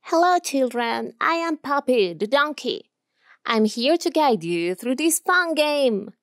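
A woman's voice: sits high, big pitch swings, slow, fairly bright tone, no echo, clean studio sound, voice pushed up, heavily acted. A woman with an Argentine Spanish accent voicing a friendly donkey who guides children through a video game. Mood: cheerful.

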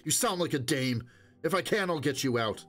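A man's voice: on the high side, lively intonation, moderate pace, a bit bright, faint music behind, pitched above the performer's own voice. A man voicing a hard younger stalker in an audiobook, speaking coldly and curtly in a dismissive manner. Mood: irritated, curt. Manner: cold, curt, dismissive.

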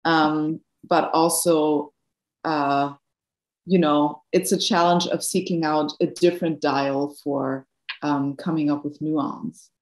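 German-accented woman in her 30s, low voice, roomy echo, hesitant and reflective, guest artist answering a Q&A. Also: steady tone, slow. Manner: thoughtful, searching for words with many fillers and restarts. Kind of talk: Q&A after an art lecture.

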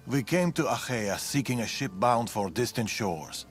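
An actor playing a world-weary, guarded fugitive in ancient Greece, speaking gravely and deliberately in a video game cutscene. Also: male voice, mid-range voice, lively intonation, lightly acted. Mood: grave, wary. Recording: game music behind the voice. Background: background music.

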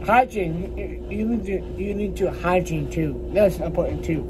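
A man in his early twenties chatting casually to a vlog audience in a halting, rambling way, often repeating words and short phrases. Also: high voice, background noise. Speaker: vlogger. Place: small laundry room beside a kitchen at home, indoors. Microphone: phone at arm's length.

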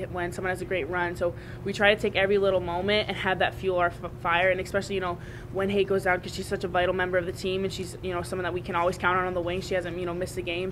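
Brief: young woman rugby player, postgame presser, earnest, monotone, humming background. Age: young adult. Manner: earnest, repeats and restarts phrases. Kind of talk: postgame press conference answers. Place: press room at a draped table. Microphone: table mic on a small stand. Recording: steady background rumble.

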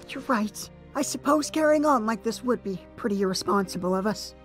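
A man voicing a sarcastic, quick-witted young swordsman hero in an anime parody dub, with a snarky, mocking manner. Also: very high voice, expressive, fairly bright tone, faint music behind. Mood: mock concerned.

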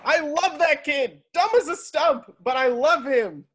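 A performer voicing a cranky, loud old male talent agent with a blustering manner over a video call. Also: high voice, a little slow, voice pushed up. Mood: fond. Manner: exasperated, blustering.

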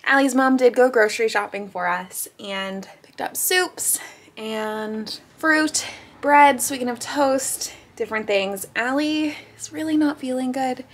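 A woman's voice: sits high, measured pace, fairly bright tone. A young woman vlogging at home, talking to a handheld camera in a chatty, caring way. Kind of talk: casual vlog chat. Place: rooms of a home. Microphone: handheld camera at arm's length.

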